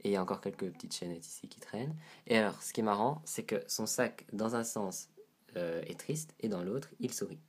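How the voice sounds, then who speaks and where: A man's voice: on the low side, animated, fairly quick, husky. A man with a head cold and a stuffy nose, reviewing a doll to camera in a casual, hesitant way, describing details as he handles it.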